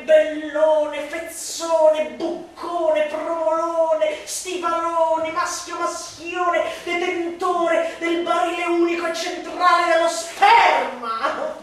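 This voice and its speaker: A woman delivering a satirical radio monologue as a stage actress, declamatory and mocking, with drawn-out emphatic words and exaggerated swoops. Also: sits high, slight background noise. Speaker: stage actress reciting a literary text. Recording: very echoey, distant from the mic.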